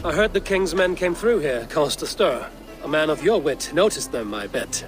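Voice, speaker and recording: An actor playing a stern, wry Viking warrior in a video game, blunt and commanding. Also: male voice, a little high, animated, normal speed, over music, natural voice. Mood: dry, probing. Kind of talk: video game character acting.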